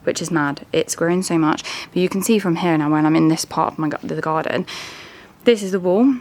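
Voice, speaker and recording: A woman's voice: low voice, fairly quick, a little mellow. A young woman, chatting casually to camera at home and in her garden, rambling with plenty of fillers. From England. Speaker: lifestyle vlogger. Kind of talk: casual vlog chat to camera. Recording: roomy echo with faint ambient noise.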